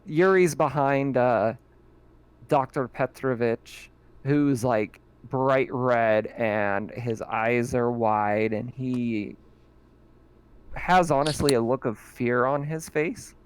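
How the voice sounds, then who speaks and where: Male game master, unhurried delivery, halting with fillers. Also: medium pitch, slight background noise. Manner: slow, halting narration with fillers and laughs. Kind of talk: narrating a tabletop game.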